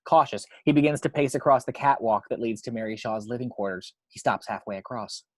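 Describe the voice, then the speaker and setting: A man reading screenplay action description as a narrator, with measured, suspenseful storytelling. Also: medium pitch, a little mellow, no echo.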